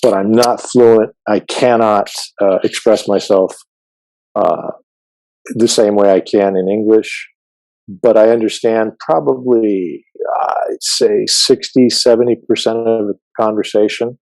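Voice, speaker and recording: Man, low voice, Farsi as first language, chatty joking host, dry sound. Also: fairly even intonation, unhurried, gravelly, darkish tone, no room sound, poor audio. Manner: chatty, joking, with frequent fillers and restarts. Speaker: podcast host. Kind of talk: casual interview.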